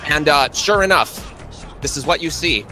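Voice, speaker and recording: A man narrating a tabletop roleplay as game master, describing scenes in the second person in a halting way. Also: a little high, animated, bright, forceful tone, soft background music.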